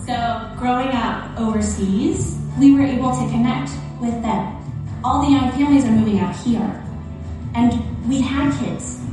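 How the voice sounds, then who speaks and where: A woman who grew up in Guinea tells her church-planting story in an earnest, reflective tone. The sound is a video played into a large room, heard from afar with heavy echo. Music runs underneath her words. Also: on the high side. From Guinea, West Africa. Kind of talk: testimony in a ministry video. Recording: video played in a very echoey hall, distant, with music.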